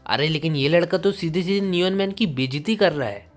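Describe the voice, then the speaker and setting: A man's voice: a little high, fairly quick, faint music behind, natural voice. A man voicing a gossiping, incredulous onlooker with a mocking manner in a manga story.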